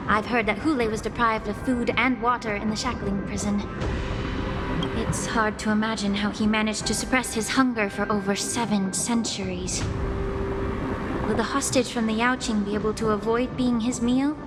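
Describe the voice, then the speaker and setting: An actress playing a composed, analytical investigator in a fantasy video game, talking in a formal, matter-of-fact way. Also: female voice, mid-range voice, fairly even intonation, normal speed, close to an everyday voice. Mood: sober, pondering. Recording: long reverb, loud music underneath.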